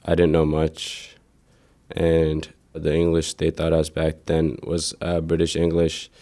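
A young man from Ethiopia, a native Amharic speaker, answering interview questions in a hesitant, plain way with many pauses. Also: rumbling low, dark tone. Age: teens to young adult.

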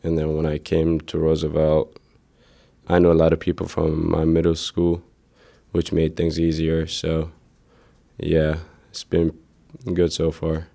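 A young man from Ethiopia, a native Amharic speaker, answering interview questions in a hesitant, plain way with many pauses. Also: rumbling low, completely flat delivery, gravelly, mellow. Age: teens to young adult.

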